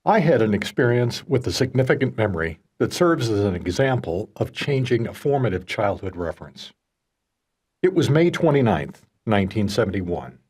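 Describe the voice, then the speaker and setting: A man narrating a first-person audiobook as its author, telling a personal story in measured phrases. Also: on the low side, sing-song, a little mellow, slightly rough. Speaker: author reading own audiobook.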